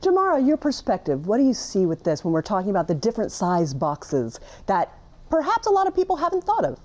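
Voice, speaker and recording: A woman's voice: medium pitch, wildly swooping pitch, fairly quick, darkish tone. A woman hosting a televised panel discussion, introducing guests and asking questions in an upbeat way. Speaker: host.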